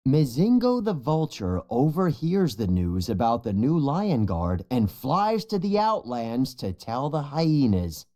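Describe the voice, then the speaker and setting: Storybook narrator reading for kids, real animated pitch, takes it slow. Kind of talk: scripted children's storybook narration.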